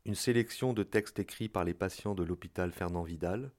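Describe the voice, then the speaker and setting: A man's voice: sits low, normal speed, a little mellow. A man giving a scripted announcement of credits as an announcer.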